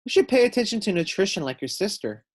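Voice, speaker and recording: A man as sensible storybook mom, lively intonation. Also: high voice, fast, pitched above the performer's own voice. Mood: instructive. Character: Mom, caring, sensible adult woman. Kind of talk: children's storybook read-aloud.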